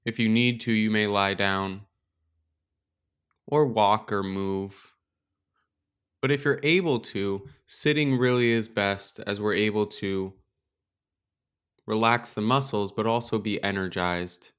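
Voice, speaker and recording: A man leading a guided meditation as a teacher, speaking in a calm, gentle, unhurried way with pauses. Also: fairly low pitch, smooth. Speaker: meditation teacher and podcast host. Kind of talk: guided meditation, slow and instructional.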